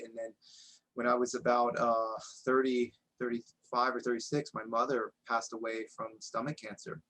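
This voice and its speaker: A man's voice: medium pitch, sharp tone, low-quality recording. Earnest man in his forties. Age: 40s. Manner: earnest, reflective, frequent "you know" and restarts. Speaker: integration coach, podcast guest. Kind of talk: candid personal interview talk.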